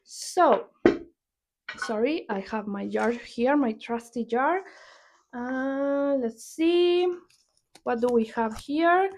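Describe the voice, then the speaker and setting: Young Argentine woman, native Spanish speaker, casual vlog chat, slightly high voice, slow and chatty. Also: a little mellow, a little hiss or hum. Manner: unhurried, chatty, restarts and corrects herself often. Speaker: book vlogger.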